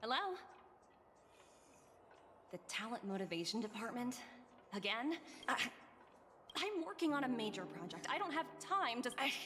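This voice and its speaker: A woman voicing a bright, chatty young corporate envoy in a video game, sometimes flustered. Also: sits high. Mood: frustrated. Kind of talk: video game character dialogue. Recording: game audio with music and reverb.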